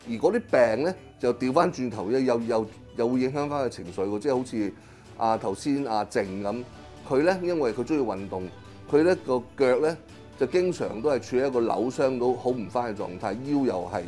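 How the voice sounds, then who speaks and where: A middle-aged man with a Hong Kong Cantonese accent, a Chinese medicine practitioner explaining his work in an interview, pausing often with drawn-out fillers. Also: medium pitch, expressive, a little mellow, faint music behind. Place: small clinic consulting room.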